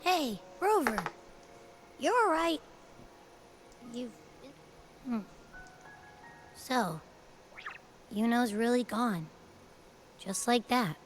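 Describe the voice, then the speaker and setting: A woman voicing a peppy, loyal young girl companion in a video game, with a casual, slangy, expressive way of talking. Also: on the high side, extremely slow, long pauses, soft background music. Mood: casual, reflective. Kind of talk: video game story dialogue.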